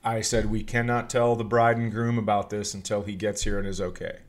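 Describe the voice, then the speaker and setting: A middle-aged American man chatting casually as a podcast co-host, telling stories in an animated, playfully self-important comedic way. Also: on the low side, brisk.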